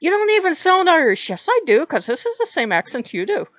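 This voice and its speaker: A woman's voice: very high voice, huge pitch swings, fairly quick, fairly bright tone, no room sound, dull audio, voice pushed up, full character voice. A woman voicing a teasing male trickster god in a bad mock Irish pirate accent. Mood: smug teasing.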